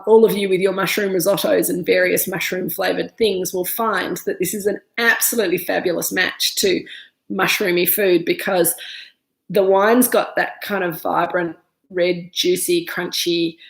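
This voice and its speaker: A woman in her thirties with an Australian accent talks her way through a wine tasting, stuffy from a cold and full of ums and restarts. Her voice has a gravelly edge. The room around her echoes noticeably. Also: fairly low pitch, level tone, a little slow. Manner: chatty, many ums and restarts. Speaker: winemaker hosting a live virtual tasting. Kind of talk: casual live-stream chat, reading viewer comments. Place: winery room.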